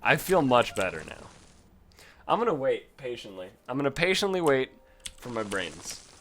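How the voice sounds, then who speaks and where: Male let's-play host, animated delivery, casual and profane, prone to loud outbursts. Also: medium pitch, normal speed, a little hiss or hum. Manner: swears freely, yells in bursts of frustration. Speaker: gaming commentator.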